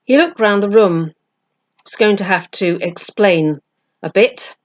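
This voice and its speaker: A woman's voice: mid-range voice, expressive, measured pace, dull audio. A woman reading a children's novel aloud as a storyteller, expressively and with acted dialogue.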